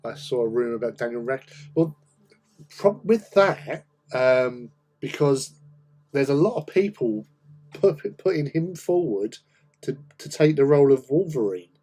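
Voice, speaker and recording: A man with a hoarse, croaky voice from a cold, chatting casually and rambling with many pauses while hosting a hobby stream. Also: medium pitch, expressive, warm tone, low-quality recording. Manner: rambling, hesitant, many ums. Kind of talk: casual chat while painting.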